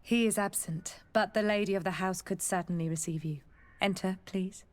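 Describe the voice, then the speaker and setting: Woman as polite servant npc, formal, reserved, flat monotone delivery. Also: mid-range voice, normal speed, lightly acted. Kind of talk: video game dialogue.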